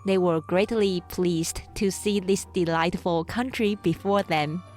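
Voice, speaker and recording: A woman's voice: lowish voice, unhurried, a little mellow. A woman narrating a children's story from a script as an audiobook reader. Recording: music behind the voice.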